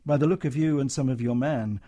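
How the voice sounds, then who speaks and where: A man's voice: mid-range voice, fairly quick, warm tone, close to an everyday voice. A man doing a stern hospital matron. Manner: firm and cutting. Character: brisk, stern wartime hospital matron, woman.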